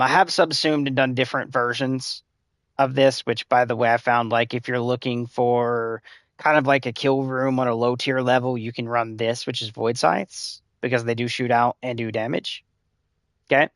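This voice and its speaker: A man giving casual gameplay tips on a live stream, his voice a little hoarse and scratchy, talking in loose rambling runs full of "like" and "uh". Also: mid-range voice, fairly bright tone, no room sound. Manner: rambling, filler-heavy advice-giving. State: slightly hoarse, losing voice. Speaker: game streamer.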